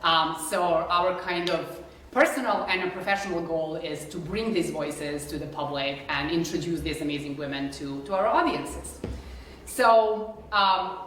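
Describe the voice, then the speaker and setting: A young Serbian-accented woman speaks casually to a live audience, full of "like" and "um". Her voice sits on the lower side. She is heard from a distance in a very echoey concert hall. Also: unhurried, fairly bright tone, slight background noise. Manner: chatty, many "like" and "um" fillers. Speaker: flutist introducing a concert. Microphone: distant room mic. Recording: echoey hall recorded from afar.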